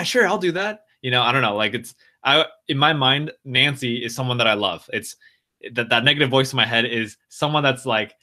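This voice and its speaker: Bright-voiced American man chatting on a live stream. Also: medium pitch. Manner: talks straight to viewers, reads out and answers chat comments; loose, rambling, full of restarts and fillers. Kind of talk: casual live stream chat.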